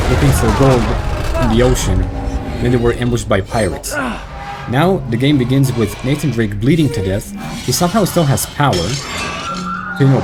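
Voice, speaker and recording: A man chatting casually while playing a video game, rambling and reacting to the action. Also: medium pitch. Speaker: gaming channel host. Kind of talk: let's play commentary. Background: loud game music.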